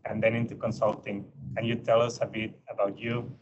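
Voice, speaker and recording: A man's voice: fairly low pitch, flat delivery, fairly quick. A man hosting an interview, steadily reading out his prepared questions. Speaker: host. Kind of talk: casual interview. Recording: dull lo-fi line with loud noise.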